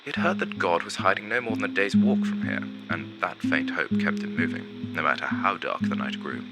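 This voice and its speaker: A man performs a grandly gloomy undead theatre narrator with a very low voice that swoops dramatically. He is vain and pompous, drawing out his phrases like an old-fashioned stage storyteller, with music playing underneath. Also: poor audio. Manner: dramatic, pompous, drawn-out delivery with hushed asides. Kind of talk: theatrical storytelling for an animated short film.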